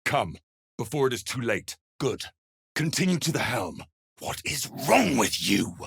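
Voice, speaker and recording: An actor playing a stern, impatient warrior in a fantasy game, with a husky voice and a curt, commanding manner. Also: male voice, mid-range voice, sing-song, unhurried, a bit bright, no room sound, clean studio sound, heavily acted. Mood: urgent, impatient.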